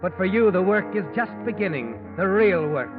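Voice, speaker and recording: An actor playing a sinister, all-knowing narrator of a 1940s radio mystery, taunting the guilty man in a deliberate, dramatic way. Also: sits high, lively intonation. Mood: ominous, pressing. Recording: muffled old radio recording with loud music.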